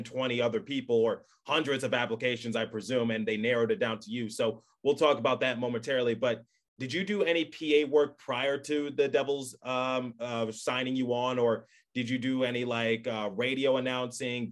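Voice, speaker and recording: A man's voice: medium pitch, little pitch movement, moderate pace. A young man hosting a casual podcast interview, chatty, with long wordy questions and asides. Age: young adult. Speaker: podcast host.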